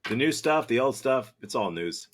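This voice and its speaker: A man's voice: medium pitch, expressive, moderate pace. A man hosting a comedy sketch show, chatting in a dry, understated way. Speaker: host.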